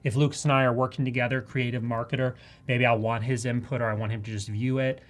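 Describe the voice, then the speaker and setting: A woman's voice: rumbling low, little pitch movement, fast. Chatty, enthusiastic Canadian woman presenting. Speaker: presenter of a live software tutorial. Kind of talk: live demonstration, casual and conversational.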